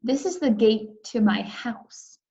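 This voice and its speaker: A woman's voice: on the high side, expressive, slow pace, dark tone, lo-fi sound. A woman voicing a spoiled, bossy rich little girl, whiny and insistent, in a children's storybook read-aloud. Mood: grouchy. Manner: whiny, insistent, often whispering.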